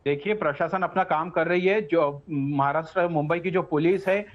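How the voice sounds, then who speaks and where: Guy on a muffled remote feed, high voice, defending his side in a tv debate, repeats himself. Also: moderate pace. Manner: defensive, repetitive explaining. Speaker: political party spokesman, debate guest. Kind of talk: live TV debate argument. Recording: dull, band-limited remote audio feed.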